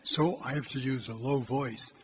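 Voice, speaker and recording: Older priest preaching in an echoey church. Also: male voice, steady tone, moderate pace, background noise. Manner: loud, hard time keeping his voice low. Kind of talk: church exhortation.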